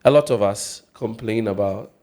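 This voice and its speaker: A man's voice: on the low side, normal speed, warm tone. A man, co-hosting a podcast with teasing, laughing asides. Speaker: podcast co-host. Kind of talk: casual chat.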